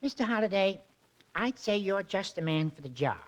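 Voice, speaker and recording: A puny, self-important private eye in comic 1940s radio style. The voice is high for a man and swoops animatedly, with hesitant stammers between the boasts. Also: expressive, normal speed. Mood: sheepish, confiding. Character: tiny, timid, boastful little male private detective. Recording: old 1940s radio recording.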